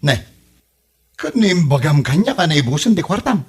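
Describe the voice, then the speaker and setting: A man's voice: on the high side, big pitch swings, moderate pace, darkish tone, husky, full character voice. A man playing a teasing, grumbling old village husband in an Ilocano comedy drama podcast, with an Ilocano accent. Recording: music behind the voice.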